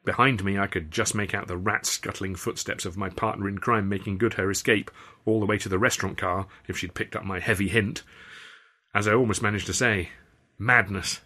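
Man, audiobook narration, lower-pitched voice, wry literate storytelling. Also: steady tone, a little fast, sharp tone. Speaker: author reading own novel. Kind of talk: audiobook narration with acted dialogue.

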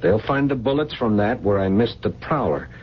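An actor playing a cold, determined, scheming grown son in a radio drama. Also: male voice, fairly low pitch, animated, normal speed, natural voice. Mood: cold, urgent. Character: scheming, hard-edged grown son plotting murder. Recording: dull, noisy 1970s radio broadcast.

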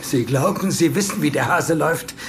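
An actor playing a middle-aged, evasive and condescending property manager mixed up in crime, in an audio drama. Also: male voice, fairly high pitch, normal speed, a little hiss or hum, lightly acted. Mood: nervous warning. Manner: evasive, condescending, swearing when rattled. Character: cornered, sneering older property manager in crime business.

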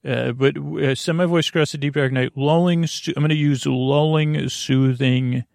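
Creaky, rambling man in a dry booth. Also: fairly high pitch, steady tone, darkish tone, no echo. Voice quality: creaky, meandering and halting. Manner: drowsy, self-deprecating asides. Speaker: sleep podcast host. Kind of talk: rambling bedtime monologue.

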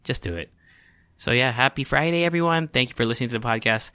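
A man's voice: mid-range voice, fast talker, pushed and bright, smooth, no echo, studio quality. A young man with a Filipino accent hosting his own podcast, talking in a rambling, chatty, self-deprecating way and dropping in Tagalog words. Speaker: podcast host. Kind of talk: casual solo podcast monologue.

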